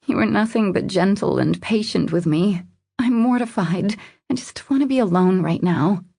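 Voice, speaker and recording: A woman narrator voicing a distraught, ashamed young woman in a breathy, cracking, pleading voice for an audiobook drama. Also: on the high side, slow pace, a little mellow, no echo, studio quality, pitched above the performer's own voice. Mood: sad, defeated. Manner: pleading, apologetic.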